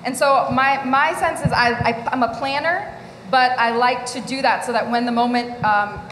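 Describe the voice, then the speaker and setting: A woman speaking in a group discussion, measured and earnest, building a careful argument. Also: fairly high pitch, fairly even intonation, a bit bright. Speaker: workshop participant. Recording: echoey hall with faint ambient noise.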